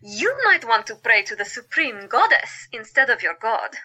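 A woman acts out a cheeky young female doctor for an audio drama. The voice is high and very lively, with a teasing, unflappable defiance. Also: moderate pace, husky, heavily acted.

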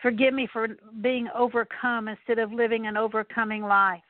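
A woman's voice: fairly high pitch, fairly even intonation, normal speed, no echo. A woman leading a spoken prayer of repentance, earnestly repeating one confession after another. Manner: earnest, repetitive litany of confessions. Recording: tinny phone-like line, dry.

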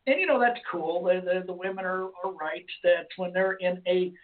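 A hoarse man hosting a call-in radio show, talking casually and rambling with restarts. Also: sits high, moderate pace. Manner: rambling, with many restarts and fillers. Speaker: host. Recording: phone-like lo-fi line.

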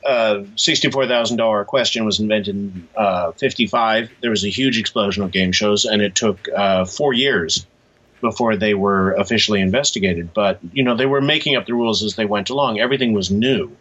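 An American man being interviewed, explaining things casually in a halting, thoughtful way. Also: on the low side, fairly bright tone, breathy edge, highs cut off. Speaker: interview guest, author.

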